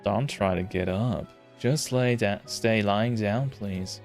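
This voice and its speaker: A man doing a soft-spoken creepy young doctor. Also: lowish voice, takes it slowly, faint music behind. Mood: soothing.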